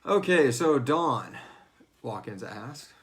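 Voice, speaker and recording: A man's voice: medium pitch, big pitch swings, slow pace, fairly bright tone. An American man chatting casually and jokingly as host of a livestreamed fan Q&A. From Houston, United States. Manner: joking, informal, breaks into playful voices. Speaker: host of a fiction series fan Q&A, its writer.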